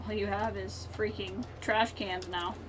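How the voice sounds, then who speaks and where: A woman's voice: medium pitch, fairly even intonation, unhurried, noisy background. A woman giving casual, chatty live gameplay commentary, thinking aloud and talking to viewers as she plays. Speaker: game streamer.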